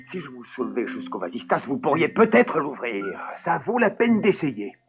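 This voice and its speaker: Panicky cartoon grown-up, high gravelly voice, swooping pitch, whiny, loud music behind. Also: male voice, very animated, normal speed. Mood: coaxing. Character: anxious adult man, trapped and panicky. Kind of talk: 1980s cartoon dubbing.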